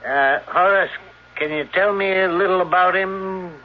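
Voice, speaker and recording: A man's voice: high-pitched, a little slow. An actor playing a polite, earnest small-town newspaperman, speaking plainly in an old-time radio drama. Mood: polite, inquiring. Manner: courteous, earnest, plain-spoken. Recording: dull, band-limited old radio recording.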